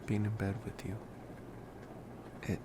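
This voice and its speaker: A man acts out a young male vampire boyfriend in an intimate ASMR roleplay, his voice low and very slow. He is soft, affectionate and hesitant, pausing between short phrases. A clear noise runs behind him. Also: level tone. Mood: sincere, grateful.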